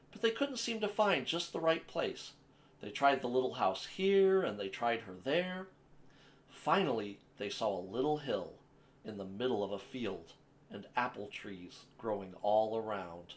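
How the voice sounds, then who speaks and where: A man with a mid-pitched voice reads a children's picture book aloud. He works as a storyteller, shaping the sentences in an expressive, measured way. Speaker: storyteller, host of a story time series.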